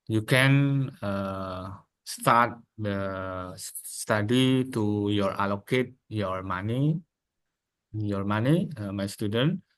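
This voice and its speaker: Slow, halting Indonesian male lecturer. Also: on the low side, a bit bright, clean tone. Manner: halting English mixed with Indonesian. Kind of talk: advice to students in an academic webinar.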